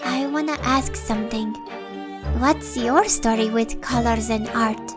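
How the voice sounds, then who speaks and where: A woman playing a gentle, modest young female healer, polite and slightly formal, in a fan audio drama. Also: on the high side, unhurried, music in the background, close to an everyday voice. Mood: calm, caring.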